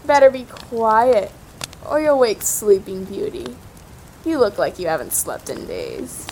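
A woman's voice: fairly high pitch, big pitch swings, a little mellow, rough recording. An actress as a predatory young cannibal woman from an audio drama, taking her time over every word. She taunts her captors with hungry, cruel relish, over a faint bed of background noise. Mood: gleefully sadistic. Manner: slow, savoring, menacing taunts.

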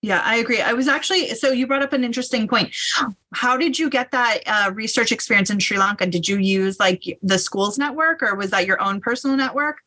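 A woman giving advice as a panelist in a conversational style, halting and correcting herself as she goes. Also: a little high, bright, forceful tone.

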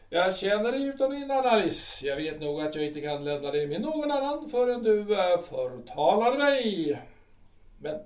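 A man acts out a sardonic, bitter middle-aged artillery captain in an audio drama. His voice sits high for a man, and he speaks with biting mockery. The sound is roomy and a little distant from the mic. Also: a little mellow. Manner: mocking, sardonic. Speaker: actor.

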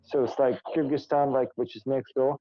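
A man from Kazakhstan with a Russian accent, a podcast guest speaking halting English with many fillers. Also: medium pitch, steady tone, no room sound, lo-fi sound. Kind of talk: casual interview chat.

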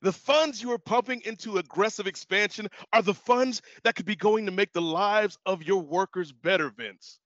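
Man playing a high-pitched, preachy Santa Claus. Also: a bit bright, dry recording. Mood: lecturing. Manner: preachy, admonishing, halting. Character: moralizing Santa Claus showing a greedy boss his wrongs. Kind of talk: comedic audio play. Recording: dull lo-fi audio.

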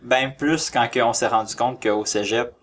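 A man's voice: mid-range voice, normal speed, fairly bright tone, slight background noise. A young man with a Quebec French accent chatting casually as an interview guest, in informal, sweary speech. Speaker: podcast guest, indie film team member.

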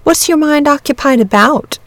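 A woman's voice: high-pitched, moderate pace, no echo, voice pushed up. A woman voicing a practical, frank young boy in a storybook, earnest and blunt but polite. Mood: curious, frank. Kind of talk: storybook character reading.

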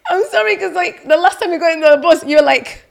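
A woman's voice: very high voice, quick pace, gravelly. A young woman co-hosting a podcast, playful and teasing in a casual chat. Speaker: podcast co-host.

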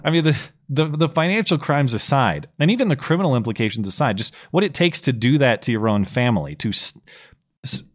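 A slightly hoarse man co-hosting a podcast, recapping political news in a casual, explanatory way with plenty of fillers. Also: medium pitch, expressive, brisk. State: slightly hoarse, losing his voice. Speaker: podcast co-host.

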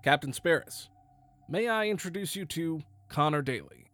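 A man's voice: a little high, expressive, moderate pace, a bit bright. A man playing a friendly lieutenant among soldiers in an audio drama. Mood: affable.

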